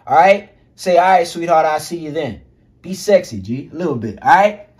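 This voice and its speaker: A man coaching other men on social skills in a casual, energetic monologue, full of slang and swearing, calling viewers G and fellas. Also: on the high side, moderate pace. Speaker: self-improvement coach.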